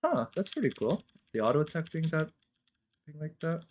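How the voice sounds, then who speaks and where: A man's voice: on the high side, sing-song, normal speed. A man giving casual, off-the-cuff reaction commentary as a streamer, thinking aloud analytically with casual swearing.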